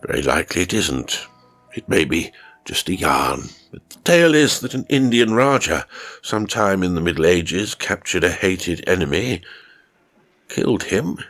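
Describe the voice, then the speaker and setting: A man's voice: low-pitched, very animated, a little slow, a bit bright, exaggerated voice. A man voicing a hesitant, conversational chess enthusiast who tells grim yarns in an audio horror story. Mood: grave, storytelling.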